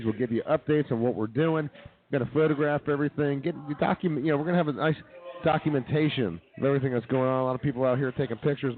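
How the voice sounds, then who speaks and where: A tinny, phone-like recording of a male comedy radio host. He talks quickly in casual, cheeky banter at a middling pitch. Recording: tinny narrow phone-like sound.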